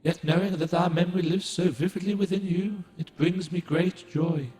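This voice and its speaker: An ancient moon guardian spirit from a game speaks in formal, old-fashioned phrases, gentle and measured, as a man voices him. His voice sits slightly high for a man. A long, roomy echo trails every line. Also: steady tone, lightly acted. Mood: solemn. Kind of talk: game cutscene dialogue.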